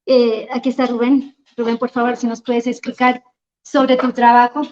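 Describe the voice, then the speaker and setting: Woman host, high voice, gracious intro, muffled lo-fi recording. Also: level tone, normal speed, a little mellow. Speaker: event host. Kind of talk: introducing a speaker. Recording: dull lo-fi event recording.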